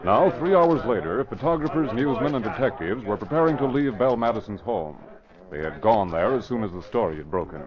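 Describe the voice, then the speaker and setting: A man's voice: fairly low pitch, animated, brisk, a crowd in the background. An American man narrating and announcing an old-time radio drama in a formal, declamatory manner. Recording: dull old radio recording.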